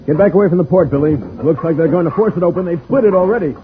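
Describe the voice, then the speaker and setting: A man's voice: high voice, very fast, natural voice. A man playing a level-headed, protective space adventurer, cautious and commanding, in a 1950s radio serial. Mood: urgent. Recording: faintly noisy, narrow-band old radio recording.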